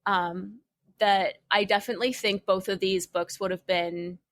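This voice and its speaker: A woman's voice: medium pitch, steady tone, moderate pace, no echo. A woman co-hosting a podcast, chatting casually about comic books. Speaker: podcast co-host.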